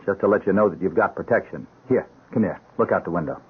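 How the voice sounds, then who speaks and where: A narrow, phone-like radio recording presents an easygoing, loyal cop. His deep voice is slightly husky, casual and reassuring. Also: fast. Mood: casual, confiding. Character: easygoing, loyal policeman friend of the sergeant. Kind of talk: radio drama acting. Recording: thin, phone-like old radio broadcast.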